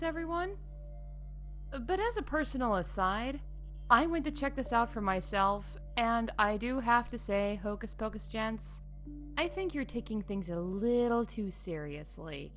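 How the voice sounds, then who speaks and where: A woman reading scripted comedic announcements in a playful, mock-official deadpan as the announcer of a news show. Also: fairly high pitch. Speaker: announcer of a fictional theme park news show.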